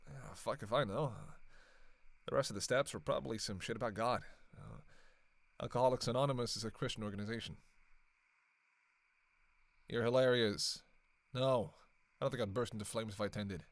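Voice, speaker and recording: A sardonic male vampire, played by a man in an audio roleplay, recorded close and dry. He talks in a casual, flirty, sarcastic way, needling his listener with sweary banter in a mid-pitched voice. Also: normal speed, gravelly, pauses often, no room sound, natural voice. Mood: teasing. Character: sardonic, flirtatious, long-lived male vampire killer, playfully teasing. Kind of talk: casual roleplay audio acting, one side of a dialogue.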